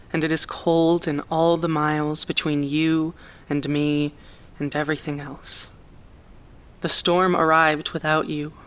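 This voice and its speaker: A performer in an audio drama reading a spoken-word poem in a measured, quiet manner. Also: mid-range voice, close to an everyday voice. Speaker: poetry reader in audio drama.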